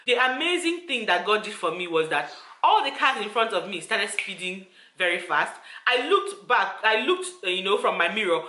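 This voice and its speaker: A woman's voice: mid-range voice, bright, forceful tone, echoey room. A woman telling a personal story to her viewers, earnest and repetitive, often calling out to them directly. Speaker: vlogger.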